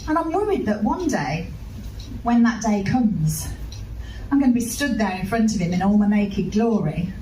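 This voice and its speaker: Female comedian on stage, confessional and very varied in pitch, caught off-mic with clear background noise. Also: normal speed. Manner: confessional, animated storytelling. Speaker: stand-up comedian. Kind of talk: stand-up routine. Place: live comedy venue. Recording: echoey, noisy live room, distant mic.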